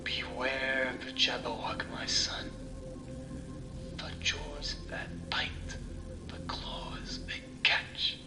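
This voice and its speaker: Sinister reflection from a horror tale male performer, half-whispered menace, very slow, echoey, over music. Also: mid-range voice, steady tone. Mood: ominous warning. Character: sinister mirror reflection, eerie and menacing, reciting warnings. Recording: roomy echo with music underneath.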